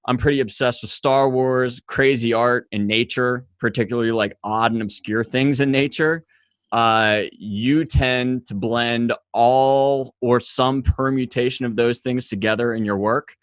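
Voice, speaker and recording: A man's voice: mid-range voice, fairly even intonation, a little slow, clean tone, no room sound. Enthusiastic man hosting a podcast. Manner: enthusiastic, loose, fillers and restarts. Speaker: podcast host. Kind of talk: casual interview chat.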